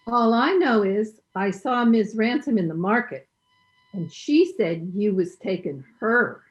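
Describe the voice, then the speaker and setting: A woman's voice: medium pitch, animated, unhurried, faint music behind, lightly acted. A woman playing a plain-spoken, gossipy housekeeper in an old-time radio comedy, folksy and emphatic, on dull call audio. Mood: knowing.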